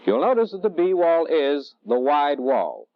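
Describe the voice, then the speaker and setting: A middle-aged man lecturing as a military technical instructor in a deliberate, didactic way, on a vintage training film soundtrack. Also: a little high, sing-song, dry recording, lo-fi sound. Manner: deliberate, didactic, measured phrasing. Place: indoor training film studio.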